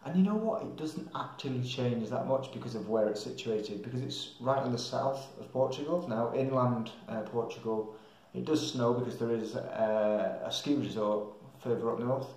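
A man's voice: medium pitch, moderate pace, hardly any pauses, a little mellow, slight background noise. A young man with an English accent rambling casually to camera as a travel vlogger, hesitant and thinking aloud. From England. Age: young adult. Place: small tiled room indoors. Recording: very echoey small hard-walled room.

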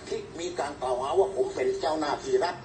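Man addressing press, fairly high voice, distant mic, clear background noise. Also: fast talker, echoey room. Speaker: accused figure speaking to press.

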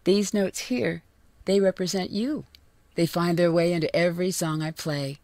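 A woman voicing a loving but secretive mother in a video game, gently firm and evasive. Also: lowish voice, steady tone, moderate pace, no echo, lightly acted. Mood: tender, reflective. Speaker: voice actor.

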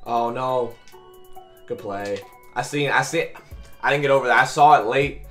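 A raspy, slightly hoarse man commentates his own video game play. He coaches viewers in informal sports slang and repeats short phrases. Faint music plays behind him. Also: mid-range voice, normal speed, fairly bright tone. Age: 20s. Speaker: gaming video host and coach. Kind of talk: excited live gameplay commentary. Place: small bedroom, indoors.

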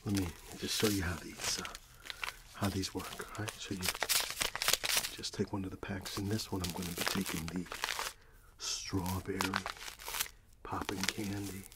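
A middle-aged man in an ASMR roleplay as a friendly candy seller, speaking softly and half in whispers to a customer, close to the mic. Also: deep voice, level tone, very noisy. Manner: soft-spoken, half-whispered, unhurried, friendly shopkeeper patter. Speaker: ASMR performer playing a candy shop keeper. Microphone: close mic, facing the camera at close range.

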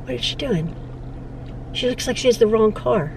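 Lively high-voiced chatty middle-aged woman. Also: normal speed, vocal fry, a little hiss or hum, rough recording. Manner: chatty, stumbling restarts, frequent laughs. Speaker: vlogger and mother. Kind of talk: casual chat. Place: inside a parked car. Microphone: phone held at arm's length.

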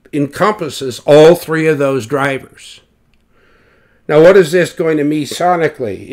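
A man giving an informal technical explanation as an expert, posing questions and then answering them. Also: a little high. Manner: explanatory, self-correcting, rhetorical questions. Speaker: loudspeaker designer explaining measurements.

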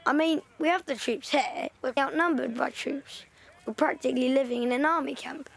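A woman's voice: high-pitched, moderate pace, vocal fry. An English actress playing a young girl upset about her family and her army father, in a radio play. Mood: frustrated.

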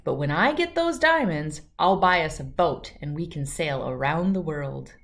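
A woman's voice: low-pitched, lively intonation, normal speed, fairly bright tone. A woman voicing a boastful, dreamy, lazy male gnu in a children's storybook, with a dismissive air.

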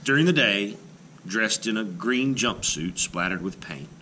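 Animated low-voiced man reading a storybook. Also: unhurried, slight background noise. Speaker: art teacher reading a picture book aloud. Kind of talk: expressive children's picture book read-aloud.

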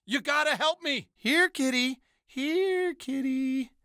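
An actor playing a put-upon, scatterbrained suburban dad in a cartoon video game, talking in a casual, rambling way. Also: male voice, extremely high pitch, slow pace, bright, forceful tone, dry recording, studio quality, exaggerated voice. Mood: rambling, worried. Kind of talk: scripted video game voice lines.

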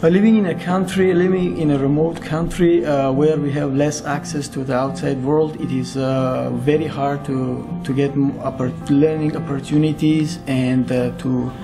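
A man speaks hesitantly as a youth activist in an interview, stopping and restarting often. His voice has a gravelly edge. Guitar music plays behind him. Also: fairly high pitch, level tone, moderate pace, hardly any pauses. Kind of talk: interview testimony.

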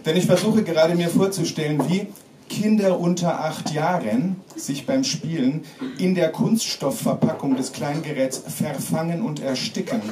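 A man in his forties from Germany reading his comic essay live with deadpan, theatrical mock gravity. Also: fairly high pitch. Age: 40s. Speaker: author reading his own satirical text. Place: small pub-like room with audience, indoors. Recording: roomy, distant mic, clearly noisy background.

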